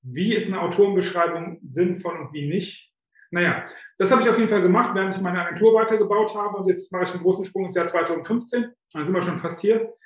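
A man's voice: high voice, quick pace. A man in his fifties, a guest author coach telling his story in a chatty, self-deprecating way, with frequent filler sounds. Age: 50s. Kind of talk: casual interview storytelling. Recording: very echoey room.

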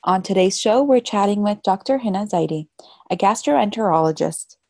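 A woman hosting an interview talk show, reading a scripted opening and then asking relaxed questions. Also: medium pitch, normal speed, no echo, studio quality.

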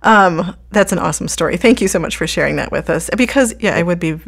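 A woman speaks as the host of an interview podcast. Her voice sits a bit low and is noticeably creaky with fry. Her delivery is conversational. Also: lively intonation, quick pace.

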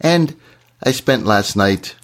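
A man, hoarse from shouting, co-hosting a podcast in a casual, encouraging way. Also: mid-range voice, lively intonation, takes it slowly. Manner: earnest, encouraging advice. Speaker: podcast co-host.

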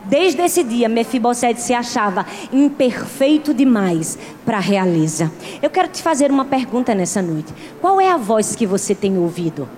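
A woman in her thirties preaching a sermon with a strong regional accent, moving between soft, close passages and loud, emphatic peaks. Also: sits high. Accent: Portuguese-speaking, with a strong regional accent. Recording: long hall reverb.

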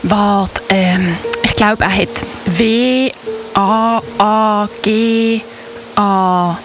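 A woman with a Swiss accent playing an excitable, slangy child investigator in a Christmas radio play. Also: medium pitch, drawn-out words, over music. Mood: hesitant, eager.

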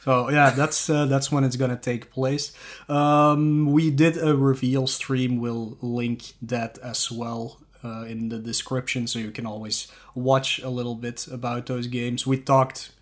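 A man's voice: medium pitch, measured pace. Man chatting casually on a podcast. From Belgium. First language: Dutch. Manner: relaxed, laughs often, trailing fillers. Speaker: podcast co-host.

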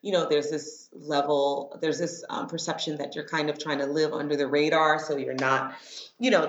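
A woman in her thirties from Miami, speaking casually and reflectively as an interview guest. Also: low-pitched. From Miami, Florida, United States. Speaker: interview guest, corporate lawyer. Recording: roomy, dull lo-fi sound.

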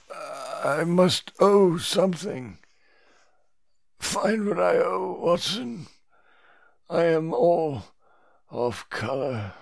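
A man's voice: high-pitched, fairly bright tone, pitched above the performer's own voice, heavily acted. A man voicing a haggard, confused man who whines and quavers through tears. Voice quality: whiny, quavering.

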